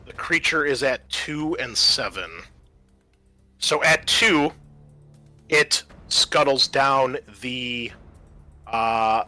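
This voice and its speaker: A man's voice: mid-range voice, bright, forceful tone. A man narrating a tabletop roleplaying game as game master, improvising descriptions and explaining the rules, with music behind him.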